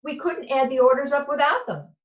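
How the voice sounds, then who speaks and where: A woman as a booming, excitable male store manager. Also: brisk, reverberant, muffled recording, close to an everyday voice. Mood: showy, excited. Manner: theatrical, booming, boastful. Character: flamboyant, excitable male supermarket manager showing off. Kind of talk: children's picture book read-aloud.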